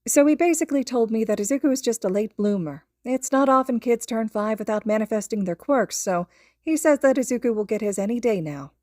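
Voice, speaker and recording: A woman voicing a cheerful, anxious young mother in an audiobook story, chatting in a light, animated, gossipy way. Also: fairly high pitch, brisk, dry recording, clean studio sound, voice pushed up, natural voice.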